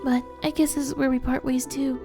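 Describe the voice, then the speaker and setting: A woman voicing a plucky, warm-hearted teenage heroine from a fantasy game, frank, playful and affectionate. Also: high voice, flat delivery, fairly quick, over music, voice pushed up.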